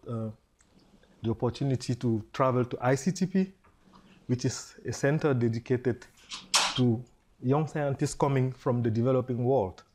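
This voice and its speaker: A man's voice: medium pitch, darkish tone, a little hiss or hum. A man, giving an earnest public talk as a scientist who strings his points together with "so" and "and then". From Cameroon. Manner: earnest, builds up with frequent "so" and "and then".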